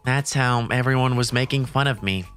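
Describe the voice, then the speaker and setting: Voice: medium pitch, normal speed, fairly bright tone, clear, resonant tone, soft background music. A narrator telling a first-person romantic comedy manga story in a scripted dub style.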